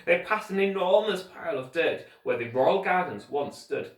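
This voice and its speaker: A man reading a children's story aloud like a teacher, with expressive, deliberate scripted narration. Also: a little high. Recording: roomy echo, distant microphone.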